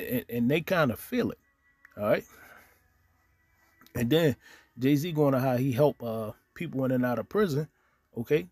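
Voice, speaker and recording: Husky guy preaching over a screen recording, keeps saying okay, dry close sound. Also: medium pitch, dark tone, dry recording. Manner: repeats "okay?" after nearly every point, reads scripture aloud. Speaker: online preacher commenting on news. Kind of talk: casual religious commentary over screen recording.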